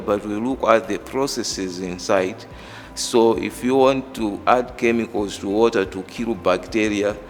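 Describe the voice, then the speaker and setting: Very low-voiced Malawian man, reverberant sound. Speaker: engineering professor. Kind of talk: interview monologue explaining own work and background. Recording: reverberant hall, music underneath. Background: background music.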